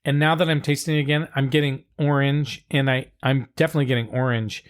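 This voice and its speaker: A woman hosting a podcast, casually chatting about wine, a bit hoarse as she is losing her voice. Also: extremely low pitch, fast talker. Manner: relaxed, thinks aloud, reads reviews out. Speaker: podcast host. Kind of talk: casual wine-tasting chat.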